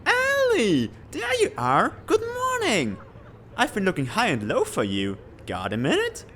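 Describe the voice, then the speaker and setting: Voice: mid-range voice, wildly swooping pitch, measured pace, fairly bright tone, slight background noise. An actor playing a chirpy, scatterbrained academy professor in a comic fan dub, bubbly, rambling and apologetic. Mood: chirpy, excited.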